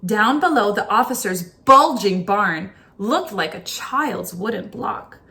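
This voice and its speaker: A woman reading a children's story aloud in an expressive, dramatic storytelling manner, like a teacher to a class. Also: medium pitch, slow, creaky. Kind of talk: expressive children's picture book read-aloud.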